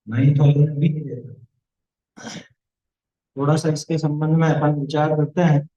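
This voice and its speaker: Man, teacher, children's class lesson, explains and quizzes the class, slightly high voice, muffled lo-fi sound. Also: fairly even intonation, measured pace, dark tone. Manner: explanatory, asks the class questions. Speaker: religious studies teacher.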